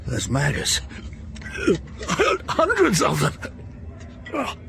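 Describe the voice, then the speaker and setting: An actor playing a proud, wounded prisoner and father in a radio drama, gruff, stubborn and indignant. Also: on the high side, wildly swooping pitch, extremely slow, pushed and bright, heavily acted. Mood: disgusted. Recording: noisy ambience behind the voice.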